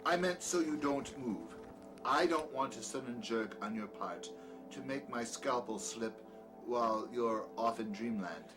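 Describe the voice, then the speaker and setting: A man's voice: medium pitch, a little slow, natural voice. A man playing a peculiar, condescending doctor with a dry, sardonic manner in an audio drama, secretly sinister. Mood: dryly sardonic. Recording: music behind the voice.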